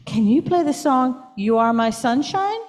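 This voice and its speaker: A cheerful puppet, acted out by a woman speaks to children in a gentle, encouraging way. The voice sits high and moves up and down with lively exclamations, as in a kids' puppet show. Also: a little slow. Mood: cheerful, warm.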